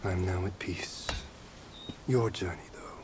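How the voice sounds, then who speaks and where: Casting: solemn game warrior, weary and grave, lowish pitch, unhurried breathy delivery. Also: male voice. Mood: solemn, resigned. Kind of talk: dramatic video game acting. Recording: noisy ambience over the voice.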